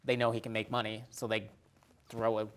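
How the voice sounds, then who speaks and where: A man's voice: fairly low pitch, completely flat delivery, a little fast, a bit bright, a little hiss or hum. A man in a casual podcast conversation about film, hesitant and often correcting himself in a loose, conversational way. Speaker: podcast co-host or guest.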